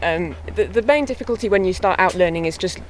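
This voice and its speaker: A young woman, a harpist, chatting in a relaxed way with light laughs while out walking on a hillside. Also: lowish voice, fast. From born in England, raised in mid Wales. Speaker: harpist and guest. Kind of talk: casual walking interview. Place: outdoors on a hillside walk. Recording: faint outdoor noise.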